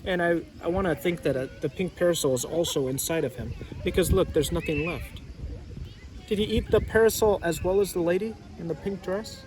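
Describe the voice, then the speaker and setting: A man's voice: sits high, a little fast, darkish tone, a little hiss or hum, poor audio. A man reading a storybook aloud as a teacher, casually chatting and prompting listeners with questions.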